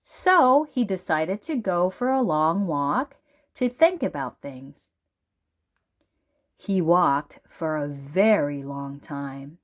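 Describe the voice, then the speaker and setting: A woman reading a children's storybook aloud as narrator, with deliberate, dramatic emphasis. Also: lowish voice, muffled recording. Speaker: children's book reader.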